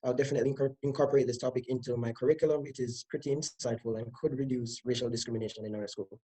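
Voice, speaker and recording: A man speaks as a teacher on a scripted panel, his measured lines clearly read from a page. His voice is mellow and he talks fast. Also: medium pitch, steady tone.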